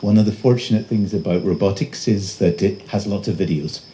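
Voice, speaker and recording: A man giving a public lecture as an invited speaker, presenting slides in a halting, pausing delivery. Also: fairly low pitch, warm tone. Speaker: invited conference speaker, robotics researcher. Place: live talk at a festival venue. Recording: noisy live event recording.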